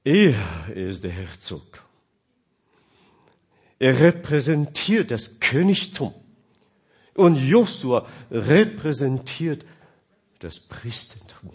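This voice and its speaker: A man preaching a sermon in German, which is not his first language, asking his listeners questions and swinging between loud and quiet. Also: mid-range voice, big pitch swings, drawn-out words, long pauses, breathy edge.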